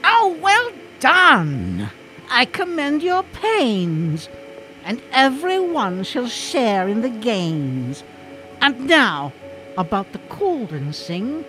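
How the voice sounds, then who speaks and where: A gleeful witch goddess, played by a woman, chanting imperiously in a high, animated voice. It is slow, theatrical radio-play incantation over faint music. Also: heavily acted, low-quality recording. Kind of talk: Shakespearean radio drama acting.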